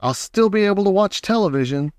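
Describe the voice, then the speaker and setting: A man performs a tiny storybook brat with a squeaky, high little voice. The boy is cheeky and defiant, and the sound is dry. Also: lively intonation, fairly quick, a little mellow, clean tone, no room sound. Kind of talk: children's storybook character voice.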